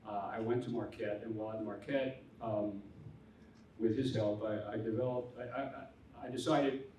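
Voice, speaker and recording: An older man, a theologian on a conference panel, telling his story in a halting, earnest way with many restarts. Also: fairly low pitch, fairly even intonation, warm tone. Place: conference hall. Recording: echoey hall from far off, faint noise.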